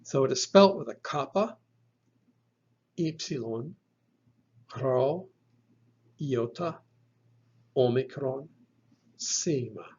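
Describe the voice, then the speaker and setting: A man's voice: medium pitch, animated, takes it slowly, long pauses, darkish tone, breathy edge, dull audio. A man giving a recorded lecture on Greek pronunciation, methodically repeating each sound for the listener. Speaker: Greek language teacher.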